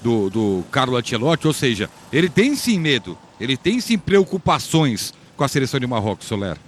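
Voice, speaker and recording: A man's voice: medium pitch, big pitch swings, slight background noise. A man hosting a sports talk show, steering the talk and recapping points for viewers. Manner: brisk, moderating, recaps points. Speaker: show host.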